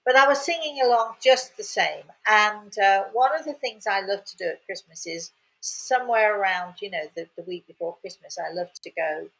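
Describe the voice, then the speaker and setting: A woman's voice: mid-range voice, normal speed, gravelly, a bit bright, rough recording. An older woman hosts and tells a casual, rambling story. She talks in a chatty way, repeating words and adding cheerful asides. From England. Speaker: host.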